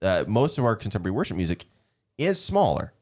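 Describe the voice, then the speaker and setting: A man hosting a casual conversation about church music, animated and quick to laugh. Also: lowish voice. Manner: animated, laughs often, restarts sentences. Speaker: podcast host, church leader.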